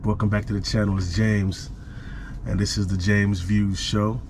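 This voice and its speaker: A man's voice: fairly low pitch, little pitch movement, moderate pace, darkish tone, slight background noise. A man who vlogs about truck driving, telling a story casually, repeating phrases for emphasis, recorded on an action camera microphone. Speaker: vlogger, truck driver.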